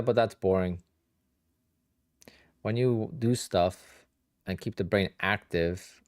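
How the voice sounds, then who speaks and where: A man in his thirties with a Polish accent talks casually to a live-stream audience, tired and a little sleepy. His voice sits low with a gravelly edge. The sound is close and dry, with mouse clicks now and then. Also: a little mellow, dry recording. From Poland. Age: 30s. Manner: chatty, answers viewer questions with many "like"s and "you know"s. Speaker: day trader streaming live.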